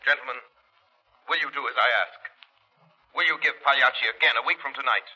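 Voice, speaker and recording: A man's voice: medium pitch, brisk, bright, forceful tone, low-quality recording. A man playing a mysterious, confident crime-fighting avenger in a 1930s radio drama, speaking with ominous, commanding deliberation. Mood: commanding, assured. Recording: vintage 1930s radio broadcast.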